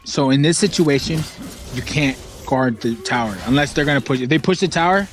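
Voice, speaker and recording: A man's voice: mid-range voice, brisk, music in the background. A man coaching a video game player casually, giving slangy, instructive callouts.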